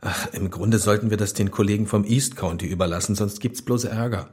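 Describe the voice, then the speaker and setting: A man's voice: lowish voice, steady tone, brisk, darkish tone, slightly rough. A man playing a dry, wry police detective in a German audio drama, talking colloquially to a partner. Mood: wry, teasing.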